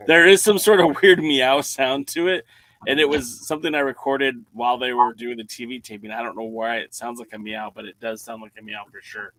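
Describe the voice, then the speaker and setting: A man's voice: mid-range voice, fairly even intonation, brisk, fairly bright tone, dry recording, low-quality recording. A man hosting a casual podcast, steering the conversation and moving it on to the next topic. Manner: steers the talk, moves topics along. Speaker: podcast host.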